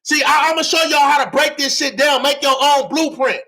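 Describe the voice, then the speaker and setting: A man giving a fired-up livestream lecture, loud and often yelling, repeating phrases and asking the audience to answer, his voice strained from shouting. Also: extremely high pitch, normal speed. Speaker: livestream real estate teacher.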